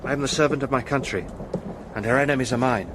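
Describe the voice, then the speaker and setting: An actor playing a brave British officer who speaks steadily and plainly with dry understatement, in a radio play with storm and battle effects. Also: male voice, medium pitch, quick pace, noisy background, lightly acted. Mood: resolute. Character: brave, wry British officer, loyal and grieving. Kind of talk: radio drama acting.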